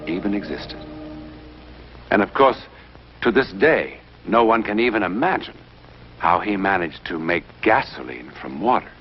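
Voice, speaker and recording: A man with an American accent as the grave narrator of an uncanny 1950s TV mystery series, measured and portentous with dramatic pauses. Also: deep voice. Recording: dull vintage TV soundtrack.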